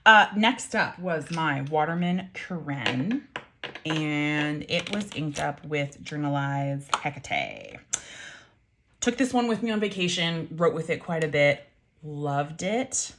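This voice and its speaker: A woman with a low, unhurried voice talks through her reviews. Her pitch swings widely as she rambles, full of ums, restarts and wry, mildly salty asides. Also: very animated, background noise. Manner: chatty, rambling, frequent ums and self-corrections. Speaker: pen and ink reviewer. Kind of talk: casual review vlog.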